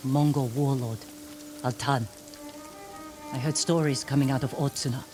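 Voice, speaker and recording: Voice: medium pitch, steady tone, moderate pace, natural voice. An actor playing a grim, troubled islander in a video game cutscene, somber and urgent. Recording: music and rain behind the voice.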